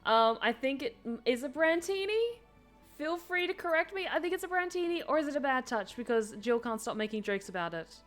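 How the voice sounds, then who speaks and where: A woman's voice: high-pitched, lively intonation, brisk, fairly bright tone, faint music behind. A woman streaming a game, chatting casually with her viewers, self-deprecating and talkative. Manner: chatty, self-deprecating, reads chat aloud.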